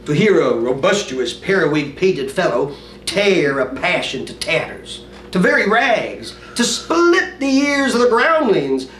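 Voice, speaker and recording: An earnest, brooding prince played by a man declaims Shakespeare in a fairly high male voice. The delivery is emphatic, with weighty pauses. It sounds roomy and set back from the microphone. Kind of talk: dramatic Shakespearean stage monologue. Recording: roomy, distant mic, faint noise.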